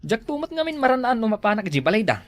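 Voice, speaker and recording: A woman plays an exclamatory Ilocano villager in a homespun comedy drama. She frets and complains with lively, swooping intonation, recorded close and dry. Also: a little high, fast talker, no echo. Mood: resigned, confiding.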